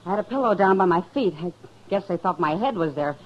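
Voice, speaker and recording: A woman's voice: fairly low pitch, expressive, fast talker. An actress playing a frightened, plain-spoken frontier woman in a 1950s western radio drama. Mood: frightened, urgent. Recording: muffled old radio recording.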